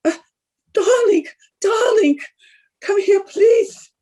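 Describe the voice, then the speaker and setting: Woman playing a high-voiced, theatrical foreign femme fatale. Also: lively intonation, mellow, exaggerated voice. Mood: distraught. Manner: halting, theatrical, formal phrasing. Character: melodramatic foreign femme fatale with formal speech. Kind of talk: old-time radio drama acting.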